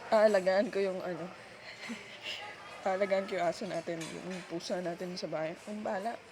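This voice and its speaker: A woman with a Filipino accent playing a heartbroken, self-sacrificing girlfriend in an ASMR roleplay, speaking in a halting, tender, pleading way. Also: lowish voice, fairly even intonation, natural voice. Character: heartbroken, self-sacrificing long-time girlfriend letting her partner go. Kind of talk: ASMR girlfriend roleplay, intimate one-sided dialogue. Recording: clearly noisy background.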